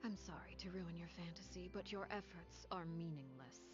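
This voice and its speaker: A woman voicing a cold, commanding noblewoman who speaks in a calm, measured, condescending way. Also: lowish voice, close to an everyday voice. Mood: cool commanding. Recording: dull game audio with music.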